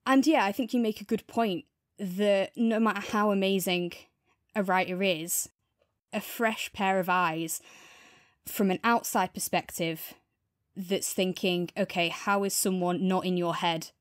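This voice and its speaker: A woman's voice: mid-range voice, steady tone, measured pace, no room sound, studio quality. A woman co-hosting a review podcast, talking casually in a thoughtful, reflective and agreeable way. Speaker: podcast co-host reviewing audio drama.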